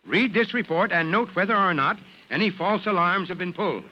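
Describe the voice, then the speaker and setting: A man acting a gruff, commanding but caring fire chief in an old-time radio drama, with a barking, authoritative delivery. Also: high-pitched, brisk, dull audio, natural voice. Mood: earnest, instructive. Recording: noisy old radio recording.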